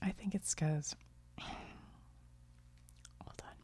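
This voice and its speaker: A middle-aged woman who chats gently in a soft ASMR whisper, often trailing off. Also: drawn-out words. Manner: gentle, chatty, laughs softly, trails off. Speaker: video creator.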